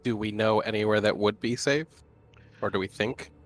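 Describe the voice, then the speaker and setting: A man's voice: on the low side, steady tone, normal speed. A man taking part in a tabletop role-playing game as a player, chatting casually.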